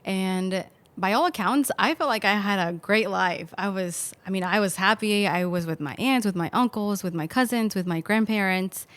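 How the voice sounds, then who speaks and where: A woman's voice: medium pitch, normal speed, hardly any pauses, a bit bright, no echo. Woman from mexico talking on a podcast, thoughtful, lots of "you know", dry close mic. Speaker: podcast guest. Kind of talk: conversational storytelling.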